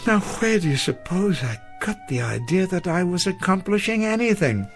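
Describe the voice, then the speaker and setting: Weary, elderly melancholy schoolteacher. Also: male voice, high voice, lively intonation, moderate pace, faint music behind. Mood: despairing. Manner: formal, eloquent, melancholy. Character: weary, self-doubting elderly male schoolteacher, gentle and bookish. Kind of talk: radio drama acting.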